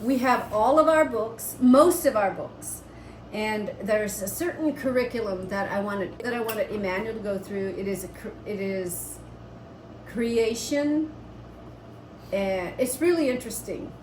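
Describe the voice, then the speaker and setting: A woman's voice: mid-range voice, a little slow. A middle-aged woman chatting casually as a homestead vlogger, in a conversational, explaining way. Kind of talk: casual chat. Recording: roomy echo with steady background noise.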